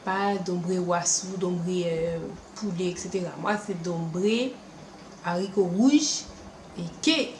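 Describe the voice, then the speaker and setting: A young woman with a French Caribbean accent mixed with Paris French, chatting to camera at home in a lively, animated way. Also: fairly low pitch, roomy sound, slight background noise, low-quality recording. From France, born in Paris, raised also in Martinique. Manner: chatty, animated, quick asides and acted-out quotes. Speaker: beauty vlogger. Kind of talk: casual chat to camera. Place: room at home.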